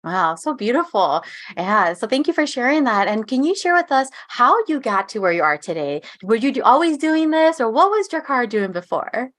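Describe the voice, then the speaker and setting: A woman hosting an interview, affirming her guest and gently asking questions. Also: a little high, big pitch swings, moderate pace. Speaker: interview host.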